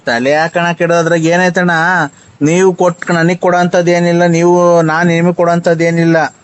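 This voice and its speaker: Male prank caller, high-pitched, rural Karnataka accent, straight-faced and insistent, on a phone recording. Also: level tone, normal speed, clear, resonant tone. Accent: rural Karnataka Kannada. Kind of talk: prank phone call, teasing.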